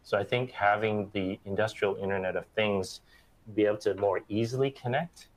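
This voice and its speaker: A man's voice: fairly low pitch, steady tone, moderate pace, rough recording. A middle-aged man on a panel in a factory studio, explaining steadily and often ending points with "right", on a lapel mic. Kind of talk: panel discussion. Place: bright open factory studio set.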